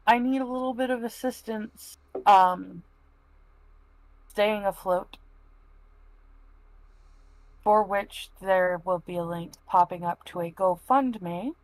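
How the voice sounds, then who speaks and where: A woman speaking casually as a live stream panel member, halting and plain-spoken. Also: medium pitch, low-quality recording.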